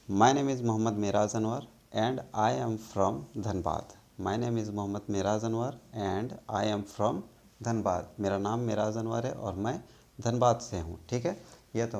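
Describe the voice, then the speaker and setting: Male English tutor from India, low voice, very slow, patient classroom delivery. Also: vocal fry, a little mellow. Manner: slow and patient, often checks with "ठीक है". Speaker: English teacher. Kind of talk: spoken English lesson.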